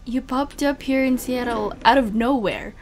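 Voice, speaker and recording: A woman acting a high-voiced, wounded young spy. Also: moderate pace, slight background noise, close to an everyday voice. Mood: cold, bitter. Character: betrayed young woman spy, hurt, bitter, guarded. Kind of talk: emotional audio roleplay acting.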